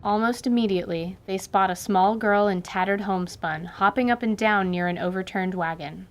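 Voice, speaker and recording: A woman narrating a fantasy radio drama with lively storytelling. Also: medium pitch, normal speed.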